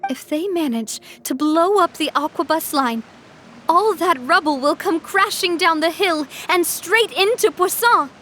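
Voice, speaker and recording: A woman's voice: very high voice, lively intonation, measured pace, a bit bright, a little hiss or hum, full character voice. An actress playing a confident young woman leader who talks urgently and decisively, in a game cutscene.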